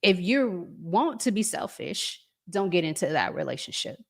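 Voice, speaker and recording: An American woman hosting a relationship talk show, chatting to viewers and giving advice in an earnest, emphatic, deliberate way with pauses. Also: lowish voice, very animated. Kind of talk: live chat to viewers, reading comments, giving advice.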